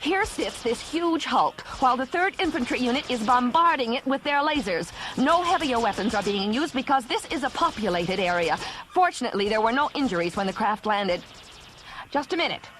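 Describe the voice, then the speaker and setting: A woman's voice: fairly high pitch, expressive, brisk, fairly bright tone, slight background noise. A woman playing an alarmed field reporter describing an alien attack in an audio drama, with a tense eyewitness delivery. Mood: urgent, reporting.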